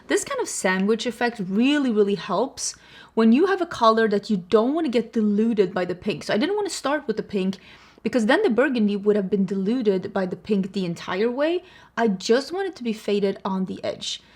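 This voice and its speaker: A woman from Sweden with a Swedish accent, chatting casually through a makeup tutorial in a self-deprecating, laughing way. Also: on the high side. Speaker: beauty vlogger.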